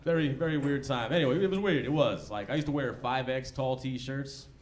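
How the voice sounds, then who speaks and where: A man's voice: mid-range voice, brisk. A forty-year-old American man doing conversational, slangy, profane stand-up comedy in a laid-back way, live in a club. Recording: live club recording with background noise.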